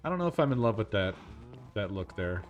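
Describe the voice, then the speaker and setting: A man with a deep, monotone voice, talking in an unhurried, casual way while he streams a game. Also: lo-fi sound, faint music behind.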